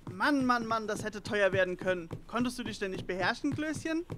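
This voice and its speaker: A man's voice: high-pitched, lively intonation, fairly quick. A man voicing a polite, brainy boy detective with formal manners in an audio drama parody. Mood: flustered. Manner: formal, courteous.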